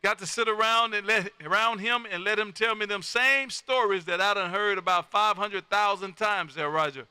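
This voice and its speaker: A man's voice: high-pitched, fairly even intonation, normal speed, hardly any pauses, pushed and bright, clear, resonant tone, no room sound. A folksy, exuberant man, chatting casually as a ham radio host who peppers his talk with "man". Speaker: amateur radio operator and live stream host.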